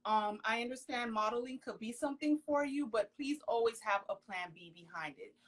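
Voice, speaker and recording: A woman's voice: on the high side, brisk. A young woman of Haitian background whose first language was Creole, talking earnestly and emphatically as a guest on a casual live interview. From Haitian household, raised in the US. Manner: emphatic, earnest, exclaims often. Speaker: professional model, interview guest. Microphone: phone on a video call.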